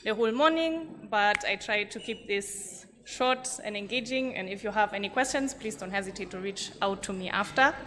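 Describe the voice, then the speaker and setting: A woman's voice: mid-range voice, fairly bright tone, slight background noise. Woman presenting in an echoey hall. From Germany. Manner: friendly, informal, self-deprecating asides. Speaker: conference presenter. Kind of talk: conference presentation. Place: large conference hall. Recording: echoey hall with faint room ambience.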